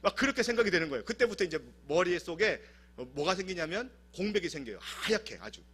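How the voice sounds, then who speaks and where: A man from South Korea preaching a sermon in church, telling stories in an animated, humorous way. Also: sits high, fast talker, husky. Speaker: pastor. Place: church service.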